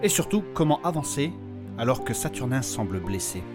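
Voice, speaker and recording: A man narrating a scripted fantasy story in a measured, solemn, dramatic way. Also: mid-range voice, big pitch swings, over loud music.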